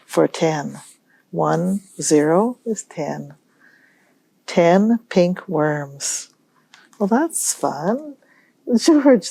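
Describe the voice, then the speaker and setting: Lady doing kids storytime, real slow n gentle, soft mellow tone, bit of creak in it. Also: on the low side, dry recording. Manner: gentle, musing, pauses to pose questions. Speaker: children's library storytime host. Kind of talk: read-aloud storytime for young children.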